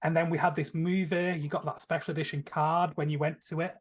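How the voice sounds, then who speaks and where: A man with a voice pitched a little above average. He talks casually, like a panelist on an entertainment podcast, offering his own opinions in loose, conversational speech. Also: little pitch movement, a little fast. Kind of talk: casual opinionated chat about films and TV.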